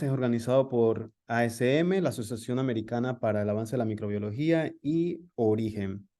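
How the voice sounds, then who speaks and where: Brief: male webinar host, formal read introduction, deep mellow tone.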